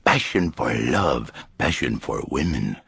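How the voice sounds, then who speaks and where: An actor playing a flamboyant, pompous Latin lothario with a Spanish accent and husky voice, theatrical and grandiose in broken English on satirical talk radio. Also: male voice, rumbling low, lively intonation, unhurried, a bit bright, no room sound, clean studio sound, full character voice. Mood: grandiose, persuasive. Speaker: radio talk show guest.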